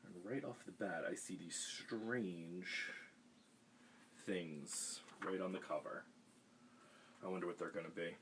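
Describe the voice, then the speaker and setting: A man reading a picture book aloud to children over a livestream, with gentle chatty asides. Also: sits low, fairly even intonation, takes it slowly, long pauses, a little hiss or hum. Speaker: storytime reader for children. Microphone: webcam livestream.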